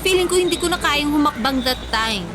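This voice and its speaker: Woman acting a high-pitched, touchy young woman. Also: animated, a little fast. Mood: defensive, insistent. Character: hungry, touchy, forgetful thirty-year-old woman friend. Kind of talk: Tagalog radio drama dialogue. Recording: music bed under the voice.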